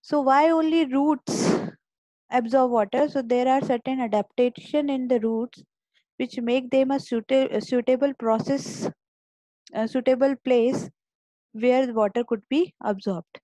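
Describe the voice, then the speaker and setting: A woman's voice: high-pitched, steady tone, a little slow, dark tone, no echo, rough recording. A woman teacher giving an online biology lecture, explaining step by step and often repeating or restarting words.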